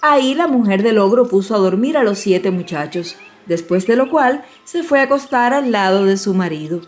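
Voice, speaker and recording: A woman storyteller giving an expressive, measured narration of a dramatized fairy-tale audiobook. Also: medium pitch. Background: music.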